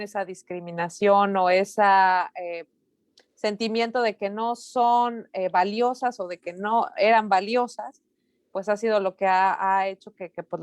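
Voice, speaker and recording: A woman's voice: mid-range voice, steady tone, a little slow, clean tone, no echo. Chatty woman moderating online talk. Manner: chatty, frequent fillers and tag questions. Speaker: online event host.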